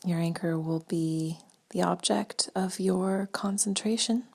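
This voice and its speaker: A woman leading a guided meditation in a gentle, spaced-out way, her soft breathy voice often trailing toward a whisper. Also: on the low side, steady tone. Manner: slow, gentle, spaced-out phrasing with long pauses.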